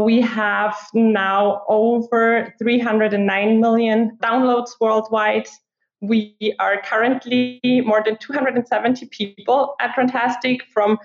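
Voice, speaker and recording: A woman in her twenties with an Austrian accent, chatting informally as an interview guest and peppering her answers with filler words like "like". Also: a little high. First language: German. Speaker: podcast guest, app marketer. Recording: muffled, roomy remote recording.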